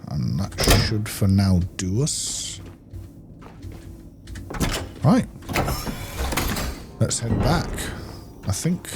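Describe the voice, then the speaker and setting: A man giving casual gameplay commentary, thinking aloud and muttering to himself as a video host. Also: lowish voice. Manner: chatty, muttering to himself while playing. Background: loud music and game sound.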